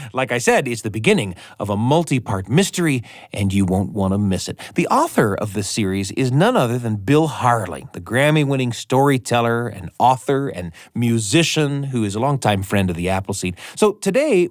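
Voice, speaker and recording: Male host for story podcast, animated scripted intros, mid-range voice, dry studio. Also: medium pitch, no room sound. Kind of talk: lively scripted narration introducing stories.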